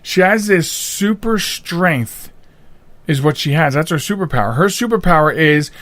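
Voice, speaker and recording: A man's voice: on the high side, animated, normal speed, fairly bright tone, no echo. An American man, middle-aged or older, hosting a podcast in loose, unscripted, conversational chat. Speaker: podcast host. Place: home studio.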